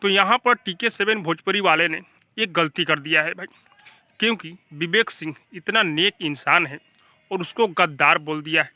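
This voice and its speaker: A man's voice: a little high, normal speed, sharp tone. A man with a Bhojpuri accent giving informal, emphatic gossip news commentary as a channel host, often addressing viewers like brothers.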